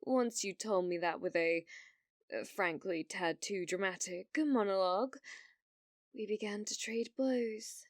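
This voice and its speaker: Woman voicing delusional demon lord girl, ASMR roleplay, grandiose and archaic, obsessive, unhurried delivery, dry close sound. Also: mid-range voice, dry recording, lightly acted. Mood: wistful, smitten. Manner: grandiose, archaic, theatrical phrasing with flustered stammers.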